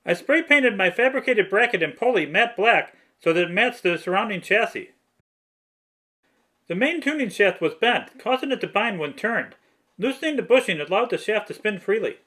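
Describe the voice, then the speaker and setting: A man's voice: sits high, expressive, normal speed, pushed and bright. A man reading scripted how-to narration for a hobby restoration in a methodical, matter-of-fact way. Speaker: hobbyist restorer narrating own repair video.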